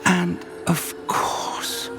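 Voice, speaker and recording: Animated older professor. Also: male voice, sits high, drawn-out words, full character voice. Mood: curious, wondering. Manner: animated, wry, wondering. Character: older male professor, wry, curious, kindly mentor. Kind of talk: scripted game cutscene acting. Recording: game audio under prominent music.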